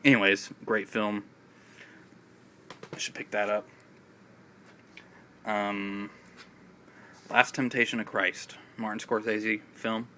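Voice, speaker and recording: A man with a raspy voice and a cough, chatting in a casual, rambling way about films with many fillers. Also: lowish voice, flat delivery, takes it slowly, pauses often, sharp tone, a little hiss or hum. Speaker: film vlogger. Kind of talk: casual video chat. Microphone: phone.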